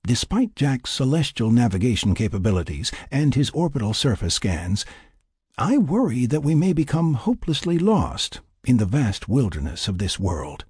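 Husky, formal scientist reading a journal as a man narrates. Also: mid-range voice, dark tone, no room sound, clean studio sound, pitched above the performer's own voice. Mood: wistful, resolved. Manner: measured, formal, wordy. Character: reflective, formal scientist keeping an expedition journal. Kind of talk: first-person audiobook narration.

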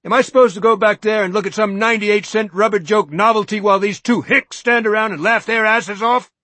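A man reading as a high, shrill, shouting lawyer, middle-aged and losing control. The voice is husky and gravelly, recorded close and dry. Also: wildly swooping pitch, moderate pace, no echo, heavily acted. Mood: hectoring. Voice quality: loud, hectoring, near screaming.

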